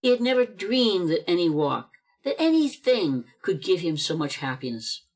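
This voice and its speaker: Measured radio play narrator. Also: medium pitch, big pitch swings. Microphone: video call.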